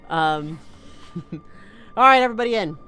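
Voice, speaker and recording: A woman playing a tabletop game, talking quickly and playfully and often laughing. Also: fairly high pitch, lively intonation, pushed and bright. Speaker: player. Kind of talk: casual roleplay chat.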